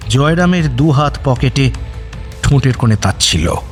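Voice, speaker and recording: Animated male suspense story narrator. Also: mid-range voice, normal speed. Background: faint music.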